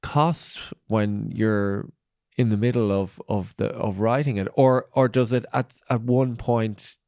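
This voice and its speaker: A man's voice: on the low side, fairly even intonation, takes it slowly, darkish tone, no echo, clean studio sound. A man interviewing someone conversationally as a podcast host, putting his questions tentatively and in fragments.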